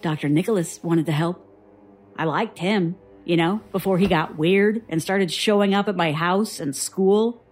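Husky, bitter young woman. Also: lowish voice, unhurried, darkish tone. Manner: sardonic, defensive. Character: bitter, guarded young woman, traumatized lone survivor. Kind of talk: audio drama acting.

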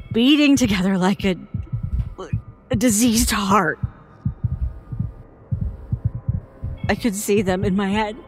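An actress playing a frightened woman haltingly recounting a childhood horror in an audio horror drama, sounding haunted. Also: medium pitch, lightly acted. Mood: frightened, desolate, then mounting dread. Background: music.

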